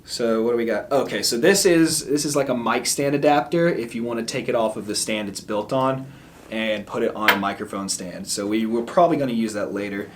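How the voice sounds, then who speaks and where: A man's voice: medium pitch, fairly quick, background noise. A young man, a musician, casually reviewing a microphone in an upbeat, chatty way and explaining the tech terms plainly. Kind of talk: casual product review vlog. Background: background music.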